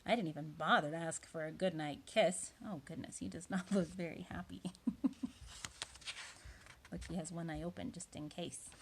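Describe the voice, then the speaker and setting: A woman reading a storybook aloud to preschoolers, expressive, with chatty little asides to her young listeners. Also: on the low side, normal speed, slight background noise.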